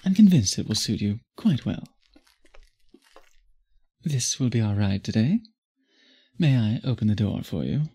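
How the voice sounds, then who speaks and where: Man acting as a gentleman vampire suitor, slow measured pace, formal flowery speech, possessive yet restrained, intimate ASMR roleplay, noisy ambient background. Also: lowish voice, very animated. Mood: courtly, charmed. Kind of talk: intimate scripted ASMR roleplay, addressed to listener.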